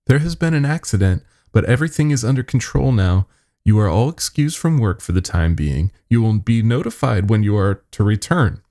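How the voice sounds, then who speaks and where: A man's voice: fairly low pitch, very animated, normal speed, dark tone, pitched above the performer's own voice. A man voicing a cold lab woman in a horror story, speaking in a formal, mechanical way. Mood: detached, official.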